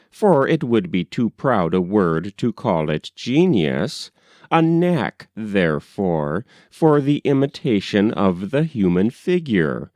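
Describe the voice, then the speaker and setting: Male audiobook reader, slow and measured, lively intonation, close dry sound. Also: on the low side, no echo. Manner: measured, expressive reading. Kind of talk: literary story narration.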